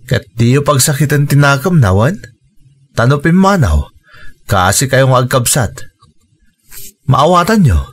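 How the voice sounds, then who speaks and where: A man's voice: medium pitch, big pitch swings, a little slow, long pauses, slightly rough. A man playing a firm, reassuring middle-aged father in a radio drama, explaining things earnestly and patiently. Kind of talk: Ilocano radio drama acting.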